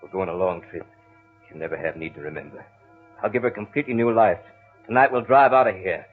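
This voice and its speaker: Sinister low-voiced man acted in old radio horror. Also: lightly acted. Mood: furtive, scheming. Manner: commanding, measured, theatrical. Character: sinister controlling man with hypnotic hold over a woman. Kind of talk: old-time radio horror drama acting. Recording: muffled scratchy old radio broadcast, faint music.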